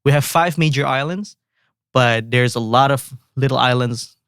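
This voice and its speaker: A man from Indonesia with an Indonesian accent, a composer guest talking in a halting, relaxed way with many fillers and restarts. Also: medium pitch, clean tone, no room sound, clean studio sound. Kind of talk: casual interview chat.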